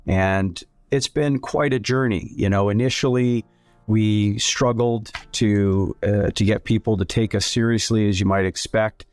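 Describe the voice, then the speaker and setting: A man, telling his story as an interview guest in a measured, earnest way. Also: on the low side, fairly even intonation, darkish tone, smooth, no echo, soft background music. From Canada. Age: 60s. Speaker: interview guest, submarine company founder. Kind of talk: conversational personal storytelling.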